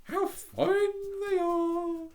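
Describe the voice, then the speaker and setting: A storyteller voicing a chatty, peaceful female duck in a very high, sing-song squeak. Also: flat delivery, very slow, darkish tone, exaggerated voice. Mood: placid. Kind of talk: storybook reading.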